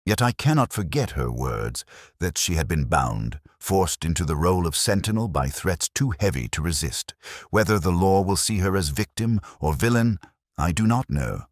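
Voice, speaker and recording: Very deep-voiced man narrating a detective story. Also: big pitch swings, dry recording, studio quality. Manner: formal, literary, measured storytelling. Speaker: audiobook narrator. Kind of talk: scripted first-person detective story narration.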